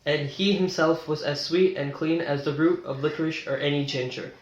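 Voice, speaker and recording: A young man reading an old tale aloud in a plain, slightly awkward amateur way, with deadpan asides. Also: a little high, moderate pace, highs cut off. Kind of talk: amateur comic read-aloud of a classic tale.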